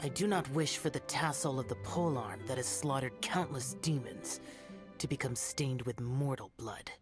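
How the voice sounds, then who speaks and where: A man's voice: mid-range voice, moderate pace, natural voice. A man voicing a stern, aloof young male guardian who slays demons in a video game, speaking in a cold, formal way. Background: game music.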